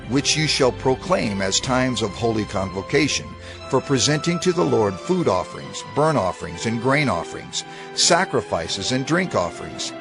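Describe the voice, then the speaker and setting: A man narrates scripture in a deliberate, declamatory style. His mid-pitched voice moves through lively inflections, solemn throughout, with music clearly playing behind him. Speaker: scripture reader.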